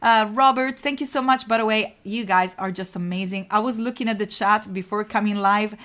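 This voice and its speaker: A woman's voice: mid-range voice, fairly even intonation, fairly quick, a bit bright. A woman from Italy with an Italian accent, hosting a live design tutorial and chatting with viewers, with many fillers as she talks. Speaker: livestream design instructor. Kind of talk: casual live tutorial chat.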